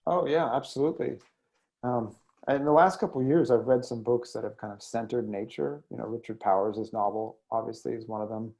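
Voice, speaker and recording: American man, creaky mellow voice, reflective. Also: mid-range voice, fairly even intonation, a little fast. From United States. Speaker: guest poet and editor. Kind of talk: thoughtful interview conversation.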